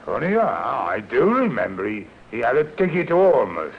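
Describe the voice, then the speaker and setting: An actor playing a flustered male official in an old radio crime drama, halting and repeating himself. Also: mid-range voice, huge pitch swings, creaky, husky, heavily acted. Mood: hesitant. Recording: dull, noisy old radio drama recording.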